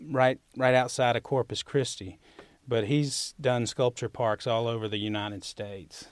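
A man's voice: mid-range voice, fairly even intonation, a little slow, clean tone, no room sound. A man with a Southern US accent, a sculptor talking conversationally as an interview guest. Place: university building studio.

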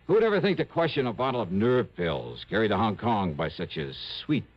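A man's voice: fairly low pitch, very animated, fairly quick. An actor playing a shrewd, confident male investigator in a 1960s radio drama, with a wry, punchy delivery. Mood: coaxing, triumphant. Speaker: lead actor and narrator. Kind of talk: 1960s radio detective acting. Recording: muffled old radio broadcast recording.

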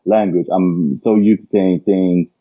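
A man in his twenties with Arabic as his first language and a mixed accent, answering interview questions with frequent pauses to find words. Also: sits low, fairly even intonation, narrow, tinny audio. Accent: mixed Arabic-influenced English with American and British touches. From Everett, Washington, US. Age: 20s. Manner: hesitant, searching for words with frequent uhs. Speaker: guest.

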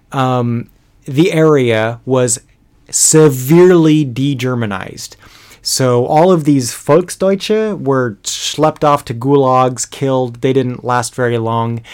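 A man's voice: medium pitch, darkish tone. A man narrating a history lesson in a casual, conversational way, with frequent fillers and restarts. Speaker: history educator.

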